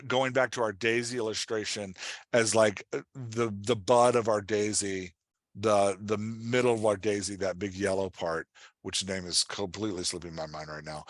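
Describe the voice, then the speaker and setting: A man's voice: on the low side, fairly bright tone, no echo, clean studio sound. A man teaching as a writing coach in an informal, conversational webinar style, explaining things and often checking in with his listeners.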